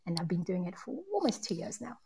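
A woman's voice: on the low side, sing-song, fast talker, vocal fry, background noise. A woman from Zimbabwe, a journalist and presenter, introducing herself conversationally.

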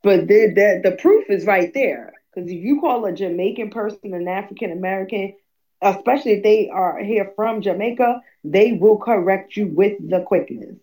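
A woman's voice: mid-range voice, normal speed, warm tone, poor audio. A young adult woman talking candidly and bluntly in a casual conversation, with fillers and emphatic asides.

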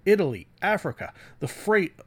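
Man putting on a theatrical, halting epic narrator. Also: sits high, very animated, breathy edge, voice pushed up, exaggerated voice. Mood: ominous, grandiose. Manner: theatrical, halting, heavily emphatic. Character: grave, melodramatic narrator of an epic sci-fi blurb. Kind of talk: dramatic reading of book jacket copy.

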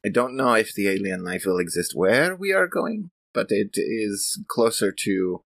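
A man's voice: on the low side, lively intonation, a little slow, vocal fry, a bit bright, no echo, clean studio sound, heavily acted. A man playing an earnest, reserved female scientist in a tabletop game, speaking formally with polite, hesitant precision. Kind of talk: improvised tabletop roleplay.

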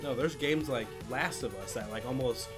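A man's voice: medium pitch, moderate pace, music in the background. A man co-hosting a podcast in casual film discussion, chatty and loosely profane. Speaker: podcast co-host.

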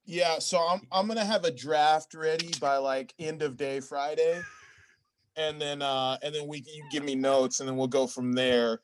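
A man improvising a hapless, rambling stenographer job applicant in a comedy sketch, halting and sheepishly self-justifying. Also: on the high side, steady tone, a little hiss or hum, natural voice. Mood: rambling, earnest.

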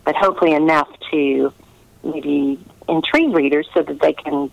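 A middle-aged woman giving earnest, conversational interview answers, pausing often to find her words over a remote line. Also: low-pitched, gravelly, dry recording, highs cut off. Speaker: interviewed historical novelist.

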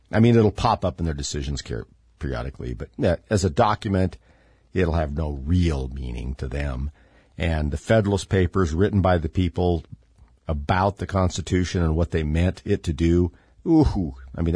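A man's voice: deep voice, a little mellow, breathy edge, no echo, clean studio sound. A middle-aged man hosting his own podcast, a bit congested and stuffy, rambling sardonically with lots of fillers. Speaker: podcast host. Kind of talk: casual political commentary monologue.

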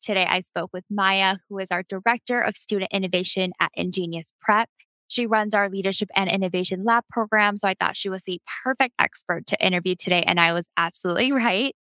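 A woman's voice: medium pitch, fairly quick, pushed and bright, no echo, rough recording. Upbeat woman hosting a podcast. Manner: upbeat, polished. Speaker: podcast host. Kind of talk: scripted podcast intro and interview.

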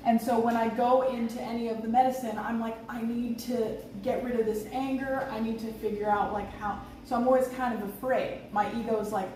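Blunt, higher-voiced woman guest, distant mic. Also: steady tone, fairly quick, few pauses, darkish tone. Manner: blunt, swears freely. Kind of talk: candid conversational storytelling. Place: outdoors on vacation, lawn equipment nearby. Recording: distant, echoey, noisy outdoor livestream audio.

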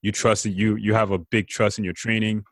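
A man with a fairly low voice asks his questions in a loose, friendly way. The sound is dry with no room echo. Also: level tone, a little fast. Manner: casual, friendly, calls the guest man and bro. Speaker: interviewer. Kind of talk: casual interview.

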